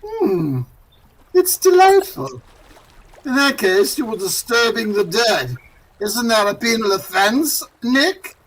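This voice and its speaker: An actor playing a gloating, urbane murderer who speaks with mocking politeness, in 1940s radio drama style. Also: male voice, very high voice, sing-song, slow, fairly bright tone, heavily acted, rough recording. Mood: mocking, amused. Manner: mocking politeness with frequent chuckles. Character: gloating, urbane male murderer holding captives at gunpoint.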